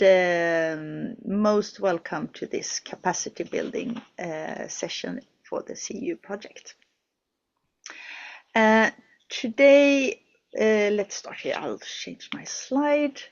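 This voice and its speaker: Woman hosting a webinar, lowish voice, lots of uh's, kinda dull lo-fi meeting audio. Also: vocal fry. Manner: hesitant, many "uh" fillers and restarts. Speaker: webinar host. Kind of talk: hosting an online project session. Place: studio.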